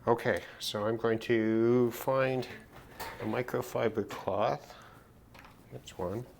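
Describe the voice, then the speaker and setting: A man casually narrating a hands-on repair demonstration, thinking aloud in an unhurried way as he works. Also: medium pitch, steady tone, creaky, a little hiss or hum. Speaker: repair video host.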